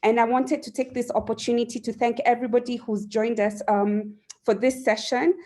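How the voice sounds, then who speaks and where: A woman's voice: on the high side, level tone, moderate pace, darkish tone. A woman hosting a launch event, giving an eager, gushing welcome speech. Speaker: event host.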